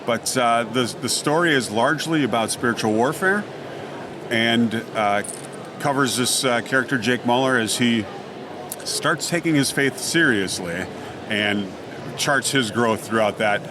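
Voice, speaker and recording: A middle-aged man gives casual interview answers as a guest. He phrases things haltingly and thoughtfully at a medium pitch. Crowd noise from a convention hall is clearly heard behind him. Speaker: podcast guest, audio drama writer and director. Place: convention booth, live recording. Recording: noisy convention crowd background. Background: crowd ambience.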